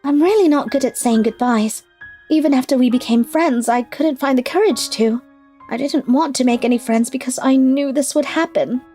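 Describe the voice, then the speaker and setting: A woman playing a youthful, expressive schoolgirl in scripted animated dialogue. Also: high-pitched, normal speed, lightly acted. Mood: sad, reluctant. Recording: music behind the voice.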